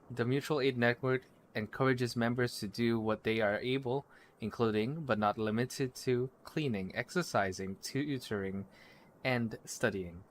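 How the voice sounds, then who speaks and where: A man's voice: medium pitch, slight background noise. A man livestreaming a game, commenting casually and wryly and reading on-screen text aloud. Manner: casual, wry.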